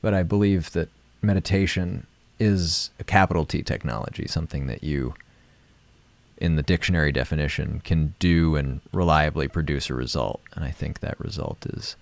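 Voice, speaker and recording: Very deep, creaky-voiced man, gently reflective. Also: moderate pace, no echo. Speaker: live stream host and meditation guide. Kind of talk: guided meditation and reflective casual chat.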